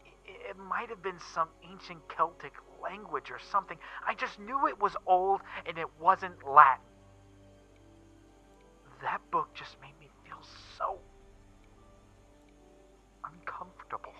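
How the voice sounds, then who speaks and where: A man playing a shaken young witness recounting an occult horror in a first-person story reading, halting and emphatic, with stammers and repeats. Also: sits high, lively intonation, pushed and bright, heavily acted. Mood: agitated, distressed. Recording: muffled recording with background music. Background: eerie background music.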